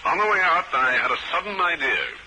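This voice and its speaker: A man's voice: on the high side, expressive, a little fast, lightly acted. An actor playing a tough, cool private detective who narrates his own case in a 1950s radio drama. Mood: matter-of-fact. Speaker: lead character and narrator. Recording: very noisy old radio recording.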